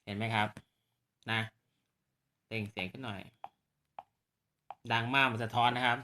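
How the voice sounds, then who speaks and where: A man's voice: medium pitch, fairly even intonation, a little slow, bright, forceful tone, a little hiss or hum. A man giving a casual hands-on product demonstration to viewers, explaining politely and often checking that they follow. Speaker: presenter of radio gear demo on his own video channel.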